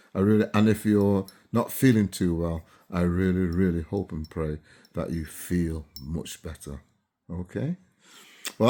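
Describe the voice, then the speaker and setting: A man's voice: lowish voice, a little mellow. A man in his seventies, originally from Barbados, talking casually to camera and often checking in with his viewers. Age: 70s. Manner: chatty, keeps checking in with listeners. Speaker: vlogger.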